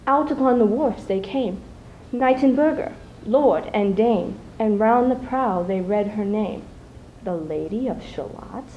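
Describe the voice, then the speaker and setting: Slow-paced young woman reciting poetry dramatically. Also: mid-range voice, expressive, dull audio. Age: teens. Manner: theatrical, measured, with dramatic dynamics. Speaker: high school speech team student. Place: live school showcase before an audience. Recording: faint noise, live event ambience.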